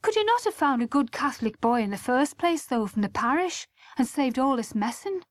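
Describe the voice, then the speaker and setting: A woman's voice: high voice, very animated, brisk, dry recording. A woman voicing a scandalised middle-aged mother in an audiobook, reproachful and full of indignant questions. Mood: resigned.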